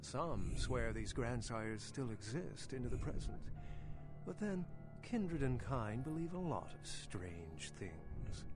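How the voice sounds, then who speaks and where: A man's voice: mid-range voice, big pitch swings, slow pace, over music. A man playing an erudite, skeptical vampire archaeologist in a video game, speaking in an articulate, sardonic and pedantic way. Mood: storytelling. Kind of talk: video game dialogue acting.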